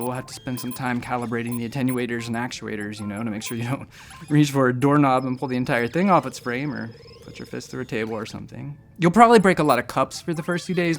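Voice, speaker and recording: A man's voice: medium pitch, slight background noise, close to an everyday voice. A man playing a nervous space-station doctor who talks in a hesitant, rambling, self-deprecating way in a sci-fi audio drama. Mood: uneasy, coaxing. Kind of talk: sci-fi audio drama acting, conversational first-person storytelling.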